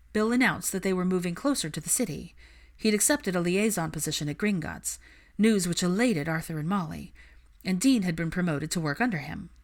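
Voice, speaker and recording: Woman reading a story out loud, voice a bit on the low side. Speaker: audiobook-style narrator of fan fiction. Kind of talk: scripted story narration.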